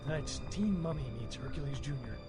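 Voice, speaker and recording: Actor as a cocky, laid-back zombie hunter, music behind. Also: male voice, a little high, lively intonation, a little fast, close to an everyday voice. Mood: breezy, instructive. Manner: casual, wisecracking, slangy. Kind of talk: video game voice acting. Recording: game audio under clear music. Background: game music.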